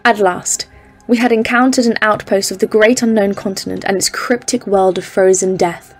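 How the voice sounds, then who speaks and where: A woman's voice: medium pitch, fairly even intonation, normal speed, soft background music, close to an everyday voice. A woman playing a composed expedition leader, narrating a polar journal in a scripted audio drama. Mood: solemn, uneasy. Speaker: narrator and lead character.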